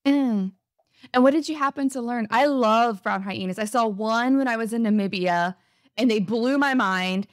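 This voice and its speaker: A woman's voice: fairly high pitch, no echo. Upbeat woman podcast host, dry sound. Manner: upbeat, enthusiastic, fast-talking. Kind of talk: casual interview.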